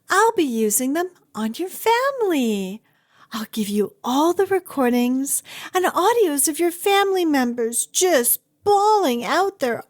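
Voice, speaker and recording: Woman doing a clingy yandere kidnapper for asmr rp, cutesy n menacing, super slow, voice swoops all over. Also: high-pitched, full character voice. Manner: gushing, cutesy, theatrical, halting. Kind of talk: ASMR roleplay acting addressed to the listener.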